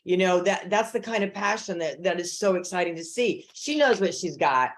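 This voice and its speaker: A woman who organizes a film festival, promoting it in a measured, enthusiastic way during an interview. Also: on the low side, lively intonation, a little hiss or hum. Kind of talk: casual interview talk.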